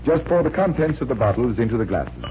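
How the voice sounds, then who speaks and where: A man's voice: mid-range voice, big pitch swings, fast, over music, lightly acted. An actor playing a confident, genial magician detective who explains things like an assured showman, in 1940s radio drama style. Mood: genial, teasing. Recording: muffled, hissy 1940s radio recording.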